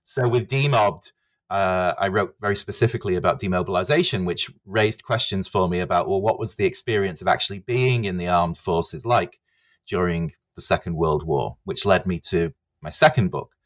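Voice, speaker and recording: A middle-aged man from England, a history professor speaking as a podcast guest with many pauses and restarts. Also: low voice. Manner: hesitant, many uhs and restarts. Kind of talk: podcast interview.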